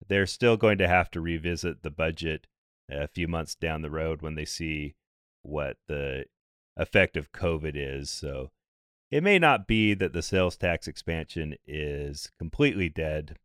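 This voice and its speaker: Deep, slightly hoarse male podcast host. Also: expressive, fairly bright tone, no echo. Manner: brisk, conversational, light dry humor. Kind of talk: scripted podcast explainer.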